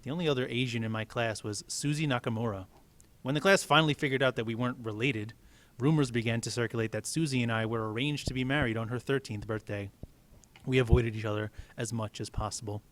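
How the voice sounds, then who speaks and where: A man's voice: medium pitch, fast talker, a bit bright. A man reading a story aloud as a teacher, with casual explanatory asides.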